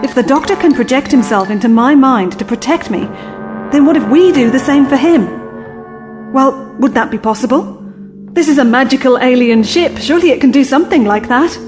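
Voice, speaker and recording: A woman playing a spirited, anxious young adventurer in a science fiction audio drama, expressive and flippant. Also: sits high, normal speed, music in the background. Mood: determined.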